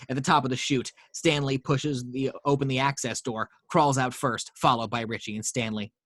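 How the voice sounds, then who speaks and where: A man reads horror stage directions at a mid pitch, in a steady storytelling way with the odd stumble. His voice is dry and close, with no room sound. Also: moderate pace, no echo. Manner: steady storytelling read with small slips. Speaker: narrator reading action lines. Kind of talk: table read narration.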